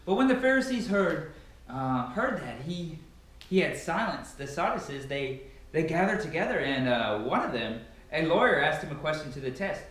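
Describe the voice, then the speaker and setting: A man reads scripture aloud in a very echoey, reverberant room. His voice sits fairly high and swings widely in pitch, with a solemn, declamatory delivery. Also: big pitch swings, normal speed, fairly bright tone. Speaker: amateur Bible reader. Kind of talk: dramatic scripture reading.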